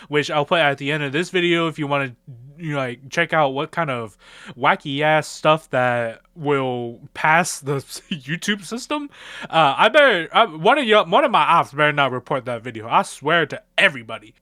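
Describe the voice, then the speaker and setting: A man's voice: fairly high pitch, lively intonation, moderate pace, pushed and bright, no room sound, clean studio sound. A man hosting his own channel, rambling through casual, slangy fan commentary and acting out bits of imagined dialogue. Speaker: video creator and channel host.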